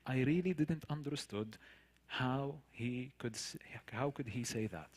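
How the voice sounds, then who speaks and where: A man's voice: medium pitch, measured pace, husky. A man with a Palestinian Arabic accent, a native Arabic speaker, tells a personal story to listeners as a guest speaker, in an earnest, emphatic manner with rhetorical questions and repetition. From Palestinian territories. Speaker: guest speaker, tour guide. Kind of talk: personal storytelling in a public talk.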